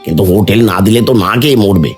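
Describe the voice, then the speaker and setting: A sly greedy village moneylender. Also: male voice, lowish voice, expressive, very fast, faint music behind. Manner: sly, coaxing. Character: scheming village moneylender Sujon, greedy younger man.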